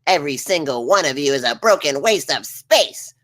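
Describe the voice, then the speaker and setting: A woman's voice: deep voice, animated, normal speed, a bit bright. A woman playing a vengeful, contemptuous villain bug in a comedy table read, with a theatrical, commanding manner. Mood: contemptuous rage. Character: vengeful, sneering villain bug bent on revenge.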